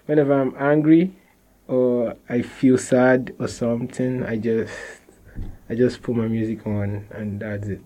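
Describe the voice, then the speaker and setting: Slow, mellow-voiced Nigerian young man. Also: medium pitch. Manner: relaxed, repeats and restarts phrases, laughs softly. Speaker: interviewee, musician. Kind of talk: casual interview answers.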